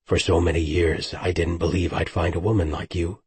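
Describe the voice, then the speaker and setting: A man voicing a brooding, powerful otherworldly warrior with a low husky growl, reading an audiobook. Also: level tone, moderate pace, dry recording.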